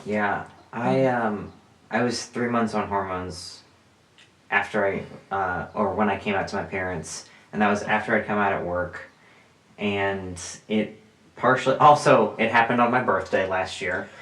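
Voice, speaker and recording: A man of twenty-five, co-hosting a podcast, telling stories in an animated way with lots of fillers and frequent laughs. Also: fairly low pitch, measured pace. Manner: animated storyteller, laughs often, many fillers. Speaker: podcast co-host. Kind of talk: casual chat. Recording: roomy sound, mic at a distance.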